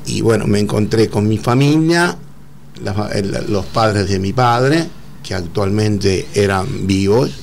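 A middle-aged man with an Argentine Spanish accent, speaking earnestly as an interview guest, often pausing and repeating words. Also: lowish voice, gravelly, darkish tone, slight background noise. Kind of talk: emotional conversational interview.

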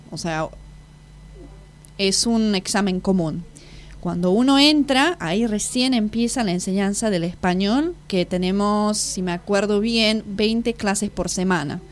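A woman's voice: mid-range voice, lively intonation, measured pace. Woman in her twenties, hesitant. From Slovakia. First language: Slovak. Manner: hesitant, searching for words, self-correcting. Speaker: exchange student guest. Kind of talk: casual interview answers.

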